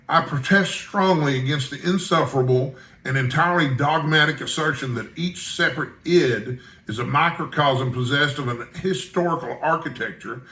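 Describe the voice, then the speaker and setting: An eager young reporter, acted out by a man reading aloud in a storybook narration. He is courteous, earnest and sure of himself. His bright voice carries clear room echo. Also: a little high. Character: Malone, eager, polite, self-assured young male newspaper reporter. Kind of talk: audiobook character dialogue.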